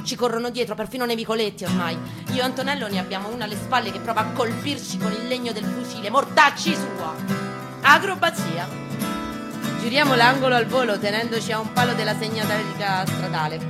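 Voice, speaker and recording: Female reader, medium-pitched, theatrical spoken-word storytelling with acoustic guitar accompaniment. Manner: theatrical, swinging from urgent outbursts to hushed, drawn-out phrases. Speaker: performer reading a story at a live reading. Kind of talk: dramatic first-person literary reading over guitar. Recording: acoustic guitar playing behind the voice. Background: plucked guitar accompaniment.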